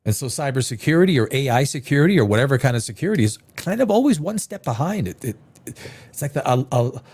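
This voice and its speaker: A man's voice: medium pitch, big pitch swings, fast, darkish tone, breathy edge. A man hosting a live reaction show, rambling and restarting his sentences, with a sniffly head cold. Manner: rambling, repeats words and restarts often.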